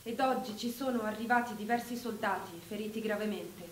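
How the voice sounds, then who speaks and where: An actress playing a sorrowful First World War Red Cross nurse, giving a grave, subdued testimony in a stage monologue. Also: female voice, medium pitch, fairly even intonation, brisk, close to an everyday voice. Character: young wartime Red Cross nurse, sorrowful, recalling horrors. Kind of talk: dramatic monologue of a wartime testimony. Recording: roomy, loud guitar music behind.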